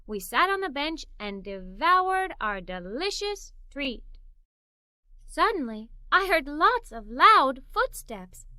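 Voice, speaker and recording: Bright, high-pitched woman reading a children's story. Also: slow, no room sound. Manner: expressive, performs the characters' dialogue. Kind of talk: animated read-aloud storytelling for children.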